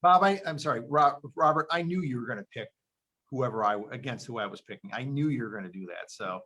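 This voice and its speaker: A man's voice: medium pitch, fast, bright, forceful tone, slightly rough. A man hosting a sports preview show, giving his commentary in a conversational way. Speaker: sports show host and pundit.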